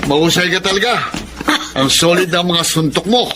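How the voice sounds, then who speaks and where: A hearty, higher-voiced Filipino boxing coach. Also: male voice, lively intonation, normal speed, background noise. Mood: encouraging. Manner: hearty, coaxing, reassuring. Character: encouraging adult male boxing coach. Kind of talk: Filipino radio drama acting.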